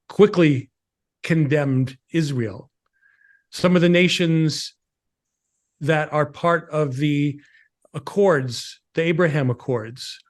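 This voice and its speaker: A man co-hosting a live political discussion, laying out his points in short, measured phrases. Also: on the high side. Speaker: co-host of a political talk show.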